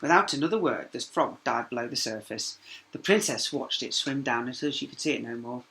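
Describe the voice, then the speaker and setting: A man's voice: mid-range voice, a little fast, fairly bright tone. A man narrating a children's story expressively as a teacher, with small asides to young listeners.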